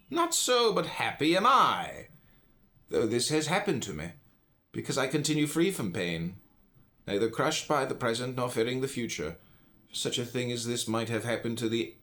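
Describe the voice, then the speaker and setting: A man reading classical philosophy aloud in a deliberate, declamatory manner with emphatic pauses. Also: medium pitch. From United States. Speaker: reader, podcast host.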